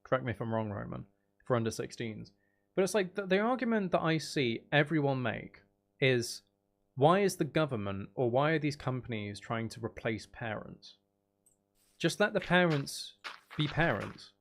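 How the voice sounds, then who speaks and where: A man's voice: mid-range voice, expressive, normal speed, gravelly. A man hosting a livestream, chatting casually in a sarcastic, exasperated ranting manner. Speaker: livestream host.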